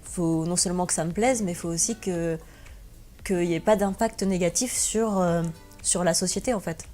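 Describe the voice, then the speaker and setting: Hesitant young woman, low mellow voice. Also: normal speed, soft background music. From France. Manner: hesitant, many fillers and restarts. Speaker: guest blogger and teacher. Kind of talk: interview answers.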